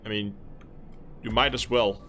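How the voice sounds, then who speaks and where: A man's voice: steady tone, a little slow, a bit bright, slight background noise. Danish man musing through a game stream. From Denmark. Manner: thinking aloud, musing, low-key. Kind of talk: casual live-stream commentary while playing.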